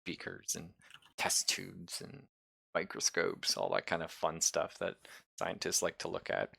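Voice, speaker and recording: A man running a tabletop roleplaying game, improvising his descriptions haltingly as he thinks aloud. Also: deep voice, little pitch movement, vocal fry, a bit bright, slightly rough, no room sound, studio quality. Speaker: game master.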